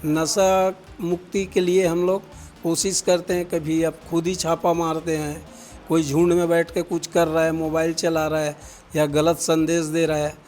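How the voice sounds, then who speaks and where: A man's voice: fairly high pitch, little pitch movement, unhurried, darkish tone, soft background music. A man speaking informally as a village representative in an interview. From village in Chhattisgarh, India.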